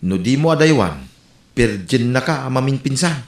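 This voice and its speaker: A man's voice: mid-range voice, animated, normal speed, reverberant, close to an everyday voice. A man with an Ilocano accent playing a friendly, gossipy village man who hands out advice. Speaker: actor in a comedy skit. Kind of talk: comedy skit acting.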